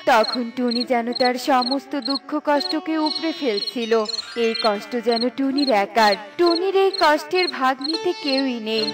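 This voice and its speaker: A woman's voice: high voice, unhurried, over loud music. A woman reading scripted fairy-tale narration for a children's cartoon.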